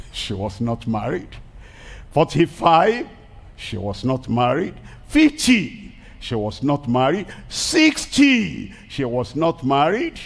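A man's voice: a little high, creaky. An older man with a Nigerian accent preaching an emphatic crusade sermon into a headset mic on a large outdoor stage. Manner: emphatic preaching, rising to shouted declarations. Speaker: pastor.

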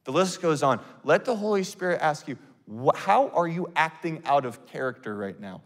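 A man in his thirties preaching a sermon on a church stage into a handheld mic, earnest and conversational, with quick bursts and restarts. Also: on the high side, lively intonation, in a large hall. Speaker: pastor.

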